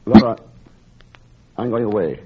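An actor playing an earnest, tender gentleman in love, in an old radio drama, with a husky voice. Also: on the low side, a little slow. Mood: tender, intense. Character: earnest, lovelorn English gentleman, tender and resigned. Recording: muffled old radio recording.

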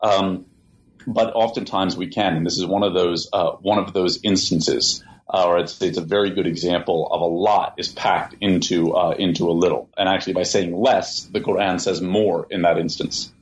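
Man, interview guest, measured, lowish voice, faint hiss. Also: steady tone. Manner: measured, restarts words often. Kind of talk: thoughtful interview answers. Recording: dull lo-fi sound, faint hiss.

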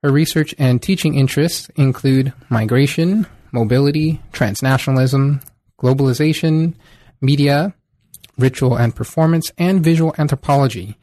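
A man hosts a book podcast, reading a formal guest introduction before moving into questions. He keeps a steady, measured delivery in a mid-range voice. The sound is close and dry. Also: mid-range voice, dry recording. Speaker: podcast host. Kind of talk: scripted introduction and interview questions.

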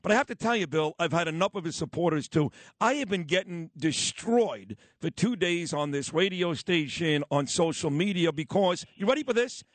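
Brooklyn guy hosting morning radio, kinda high voice, really emphatic, dry studio sound. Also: expressive, no echo. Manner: emphatic, enthusiastic, fast-talking. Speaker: radio morning show host. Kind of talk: radio talk show.

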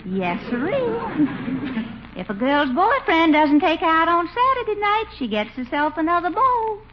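An actress playing a coquettish, wheedling Southern belle in a 1950s radio comedy, coy and pleading with an American Southern accent. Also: female voice, extremely high pitch, sing-song, a little slow, full character voice. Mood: huffy. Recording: muffled, hissy old radio recording.

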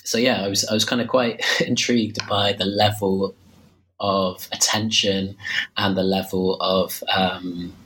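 Low, flat-voiced man with a cold. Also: a little slow, a little hiss or hum, poor audio. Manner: hesitant, many fillers and restarts. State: slightly hoarse with a cold. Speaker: author discussing his book. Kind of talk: interview answer.

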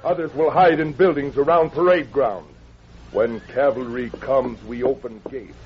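An actor playing a proud young war chief in a radio western, speaking stern, clipped formal English. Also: male voice, medium pitch, fairly even intonation, moderate pace, a crowd in the background, natural voice. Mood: menacing, confident. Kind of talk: 1950s radio western acting. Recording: dull old radio recording.

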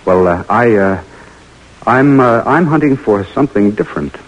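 An actor with an American accent playing an eager young lieutenant on a secret mission, in 1940s radio drama style, on an old recording. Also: male voice, fairly low pitch, animated, moderate pace, low-quality recording. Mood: hesitant, urgent. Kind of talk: 1940s radio drama acting and first-person narration.

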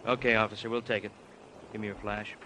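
Brisk radio policeman on a noisy recording, acted. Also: fairly low pitch, little pitch movement, natural voice. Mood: urgent. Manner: brisk, commanding. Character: urgent, dutiful male police detective sidekick. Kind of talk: old-time radio crime drama acting.

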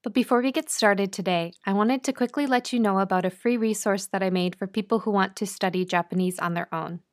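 Clear-spoken woman podcast host. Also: mid-range voice. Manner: clear, teacherly, spells out phrases slowly. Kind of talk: scripted podcast intro and interview.

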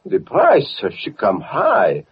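Excitable husky Italian immigrant, old radio. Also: male voice, medium pitch, slow, exaggerated voice. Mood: joyful, grateful. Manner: broken English, eager and effusive. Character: excitable, grateful, naive Italian immigrant man. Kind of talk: old-time radio acting. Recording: muffled vintage radio recording.

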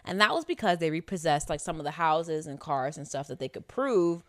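A woman's voice: deep voice, lively intonation, a little fast, a bit bright, no echo, studio quality. A woman in her late twenties co-hosting a true-crime podcast in casual, candid, slangy talk with some swearing. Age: late 20s. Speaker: podcast co-host.

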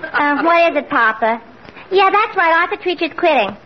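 Brassy, very high-pitched young husband-hunter. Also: female voice, expressive, moderate pace. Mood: eager, brassy. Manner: brassy, affected, eager. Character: man-hungry, brassy young daughter of tavern owner. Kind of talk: 1940s radio comedy acting. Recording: muffled noisy old radio, studio audience.

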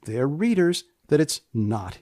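A male podcast host with a slightly high voice delivers scripted, humorous narration in a deliberate deadpan. His pitch swings in a lively way, and he repeats phrases for emphasis. The recording is close and dry, with very little room sound. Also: no room sound.

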